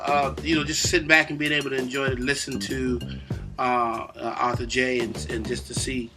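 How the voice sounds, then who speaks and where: A man's voice: mid-range voice, level tone, moderate pace, music in the background. A man co-hosting a casual radio chat, supportive and given to repeating his phrases. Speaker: radio co-host.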